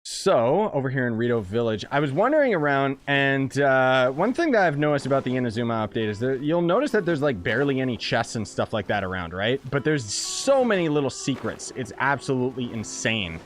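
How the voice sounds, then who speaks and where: Excitable young man streaming games. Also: medium pitch, brisk, hardly any pauses. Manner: excitable, slangy, playful. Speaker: game streamer. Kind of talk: casual live gameplay commentary. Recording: game music behind the voice. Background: game music.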